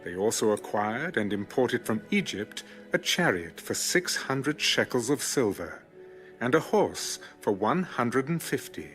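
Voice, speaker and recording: A man narrating scripture in a measured, formal, solemn storytelling style. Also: mid-range voice, sing-song, a bit bright, soft background music.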